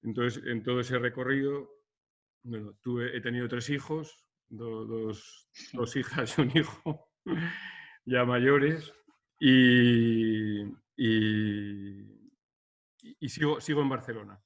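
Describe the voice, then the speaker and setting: A man's voice: medium pitch, slow pace. A Basque architect in his fifties, speaking hesitantly with many restarts in a video-call interview. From Basque Country, Spain. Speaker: architect guest. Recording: dull lo-fi video-call audio.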